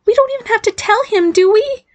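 A woman voicing an indignant, sarcastic boy who has been turned into a girl fairy, with a squeaky girlish voice, in an audiobook. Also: a little fast. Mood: desperate, pleading. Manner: indignant, pleading protests. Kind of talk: audiobook character acting.

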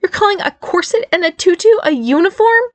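Woman acting a squeaky, indignant boy-turned-fairy-girl. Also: animated, normal speed. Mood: sarcastic, exasperated. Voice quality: squeaky, girlish. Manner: indignant, pleading protests. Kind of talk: audiobook character acting.

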